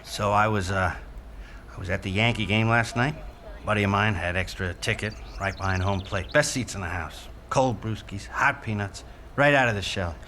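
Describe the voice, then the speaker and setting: A man's voice: on the low side, normal speed, sharp tone, a little hiss or hum. An actor playing a patient, streetwise negotiator in a naturalistic audio drama, folksy and placating, with some hesitation as he coaxes. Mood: chatty. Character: streetwise, patient male negotiator coaxing someone off a ledge.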